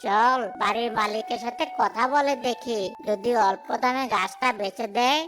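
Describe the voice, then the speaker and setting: Guy doing a pushy greedy woodcutter from a village, cartoon, high voice, music behind. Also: normal speed. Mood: greedy, brash. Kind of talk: cartoon voice acting.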